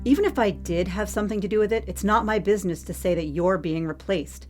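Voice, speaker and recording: A woman's voice: medium pitch, fast, faint music behind, lightly acted. A woman acting in an audio drama as a hard-edged, unflappable head of security who speaks in pointed, sardonic questions. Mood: curt, defiant.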